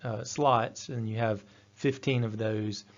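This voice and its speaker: A man's voice: lowish voice, steady tone, slow. A man giving an instructional technical presentation in a methodical, explanatory manner. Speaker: technical trainer. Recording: dull, lo-fi voice-over audio.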